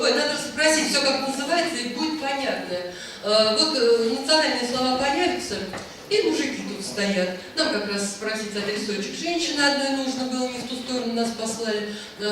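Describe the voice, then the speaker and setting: A woman's voice: fairly high pitch, a little fast. A woman telling a rambling, anecdotal story at a book presentation, hesitating often as she talks. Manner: chatty, rambling, frequent hesitations. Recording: echoey hall, voice from far off, faint noise.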